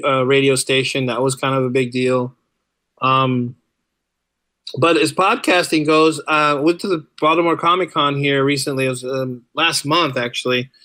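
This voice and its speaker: Bright-voiced man chatting in an interview. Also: medium pitch, no echo. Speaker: podcast guest, writer and podcaster. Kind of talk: casual interview chat.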